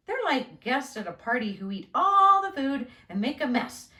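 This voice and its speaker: A woman voicing a calm, reassuring father who patiently explains things to his child in a storybook. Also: a little high, exaggerated voice. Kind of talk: children's storybook read-aloud. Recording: roomy, echoing indoor sound.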